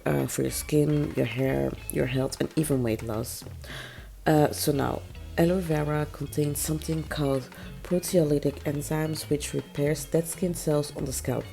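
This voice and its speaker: A woman with a very low voice, made deeper still and gravelly by a cold. She talks through a hair-care how-to in a loose, chatty way, full of ums and likes, and the tone is dark and mellow. Faint music plays underneath. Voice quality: naturally low voice. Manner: chatty, many ums and likes, small laughs. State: sick, voice even lower than usual. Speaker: natural hair vlogger. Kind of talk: casual how-to vlog.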